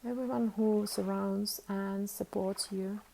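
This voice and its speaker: Slow, creaky-voiced woman guiding meditation. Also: medium pitch, steady tone, warm tone, rough recording. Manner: soothing, unhurried instruction with long pauses. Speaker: yoga and meditation teacher. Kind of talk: guided meditation.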